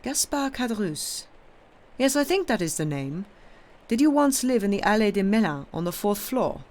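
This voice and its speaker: A man voicing a formal, probing Italian priest with an Italian accent and measured, courteous speech, in an audiobook. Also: sits high, big pitch swings, pitched above the performer's own voice.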